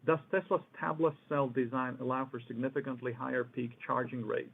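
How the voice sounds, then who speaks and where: A man moderating a formal question session, reading out submitted questions in a halting way. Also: mid-range voice, fairly even intonation, gravelly, breathy edge. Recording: noisy thin phone line with clicks.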